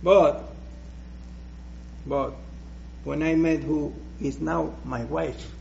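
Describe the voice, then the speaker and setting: Slow, hesitant Puerto Rican preacher. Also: male voice, fairly high pitch, steady tone, vocal fry, a little mellow, highs cut off, slight background noise. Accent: Puerto Rican Spanish. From Puerto Rico. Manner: slow, hesitant, with many restarts. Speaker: guest preacher. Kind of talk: personal testimony in church.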